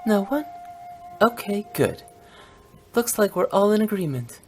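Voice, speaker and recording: A cheeky young woman who runs a funeral parlor for a video game. Her delivery is playful and self-assured, with lively swings in pitch and soft music behind. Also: a little slow. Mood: breezy, confident. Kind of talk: English video game voice acting.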